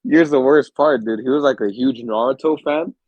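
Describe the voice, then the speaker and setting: A man's voice: mid-range voice, normal speed, dry recording, lo-fi sound. Stuffy young man telling stories. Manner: laid-back, swears freely, rambling storyteller. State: stuffy, under the weather. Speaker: podcast co-host. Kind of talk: casual chat.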